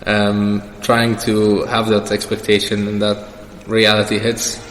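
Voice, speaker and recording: A man's voice: fairly low pitch, monotone, a little slow. A young man answering questions as the guest in a casual personal interview. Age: young adult. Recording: echoey reverberant room with background noise.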